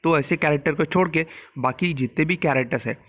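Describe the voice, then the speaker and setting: Host type: hoarse Indian man, fairly high voice, blunt and informal game-tips voice-over. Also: brisk, a bit bright, dull audio. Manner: informal, blunt, mixes English terms into speech. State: hoarse, just woke up, sore throat. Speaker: mobile game channel host. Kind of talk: casual gameplay guide voice-over.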